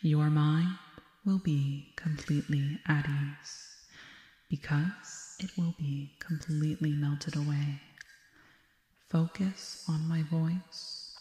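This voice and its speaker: A woman playing a serene, soothing alien girl hypnotist in an ASMR roleplay, breathy and deliberate, between whispers and soft speech. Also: low voice. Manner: breathy, whispered to softly spoken, slow and deliberate. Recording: echoing reverb with long tail.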